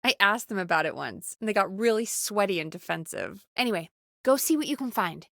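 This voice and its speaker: An actress playing a friendly, teasing woman who produces a small-town radio show in a video game, talking in an earnest, expressive, chatty way. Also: mid-range voice, brisk, vocal fry, no room sound, clean studio sound. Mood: gossipy. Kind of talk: video game voice acting, conversational.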